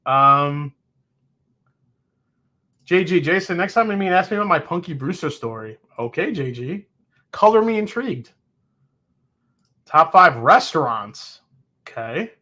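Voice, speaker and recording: Host, male, 40s: excitable, chatty live-stream talker with a somewhat high, bright voice. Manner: chatty and excitable, thinks aloud, quick exclamations. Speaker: host of a whiskey tasting show. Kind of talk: casual live tasting chat, reading viewer comments.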